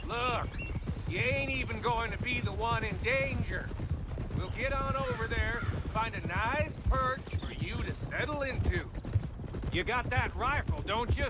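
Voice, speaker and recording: An actor playing a scheming, folksy American outlaw in a western game, talking in a drawn-out, persuasive way. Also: male voice, very high voice, very noisy. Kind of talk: dramatic cinematic game acting.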